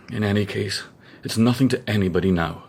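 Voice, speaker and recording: An actor playing an evasive, falsely cheerful and impatient Victorian gentleman bridegroom in a period radio drama. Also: on the low side.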